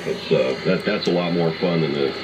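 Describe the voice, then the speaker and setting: A man's voice: extremely low pitch, normal speed. A middle-aged or older American man chatting casually and hesitantly as an amateur radio operator, heard through an AM radio transmission. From United States. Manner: rambling, hesitant, reminiscing. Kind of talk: casual ham radio chat. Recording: muffled, noisy AM shortwave radio signal. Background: radio static noise.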